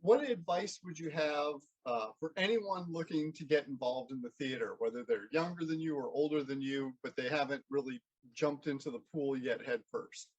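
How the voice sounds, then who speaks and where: A man's voice: on the high side, moderate pace, hardly any pauses, slightly rough, muffled recording. A man interviewing someone as host, speaking conversationally and in an encouraging way.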